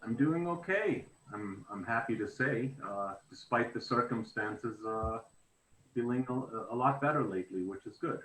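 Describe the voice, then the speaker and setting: A man's voice: mid-range voice, lively intonation, normal speed, fairly bright tone, a little hiss or hum. A middle-aged man from South Africa talking as a guest on a video call, hesitant and restarting his sentences. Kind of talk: casual interview chat. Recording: echoey room.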